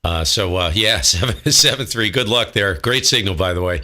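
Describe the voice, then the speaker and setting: Low bright american guy doing ham radio contacts, chatting casual between call signs. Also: normal speed. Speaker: amateur radio operator, video host.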